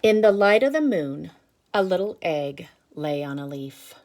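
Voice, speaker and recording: A woman teacher reading aloud to children, with expressive emphasis and instructive explanatory asides. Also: lowish voice, unhurried. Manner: instructive, checks in with listeners.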